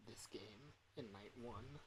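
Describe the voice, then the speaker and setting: A woman's voice: rumbling low, slow, heavy background noise. A woman livestreaming games, muttering casually to herself as she works. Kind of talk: casual muttering while gaming on stream.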